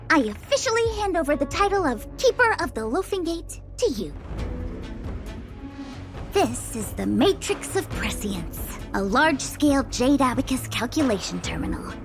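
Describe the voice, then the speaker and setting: An actress playing a breezy young slacker woman, a playful guide in a video game, with an expressive, theatrical manner. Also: high voice, full character voice. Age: young adult. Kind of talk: animated video game voice acting. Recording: game music behind the voice. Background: background music.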